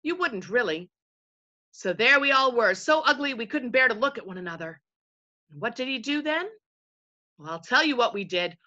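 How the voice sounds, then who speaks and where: An invisible servant chief from a storybook, acted out by a woman, full of folksy self-importance. The delivery rambles and digresses, with a very animated pitch and a bright edge. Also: moderate pace, long pauses, dull audio. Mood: rambling, confiding.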